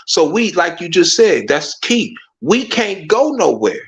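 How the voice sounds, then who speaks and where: A man's voice: sits high, sing-song, a little slow, creaky, a bit bright. A man talking in a casual discussion, making his points with rhetorical questions and asking listeners to "watch this.". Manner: emphatic, rhetorical, repeats "watch this". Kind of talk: casual conversation.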